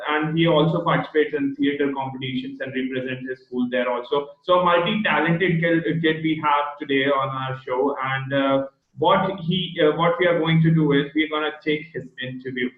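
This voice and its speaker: Halting man on an echoey call. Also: on the high side, steady tone. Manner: halting, searching for words mid-sentence. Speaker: host. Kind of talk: online interview with a child guest. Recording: echoey, tinny phone-like video call audio.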